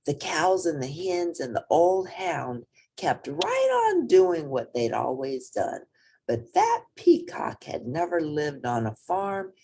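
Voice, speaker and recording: A woman reading a children's picture book aloud as an expressive storyteller. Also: low-pitched, slow pace, slight background noise.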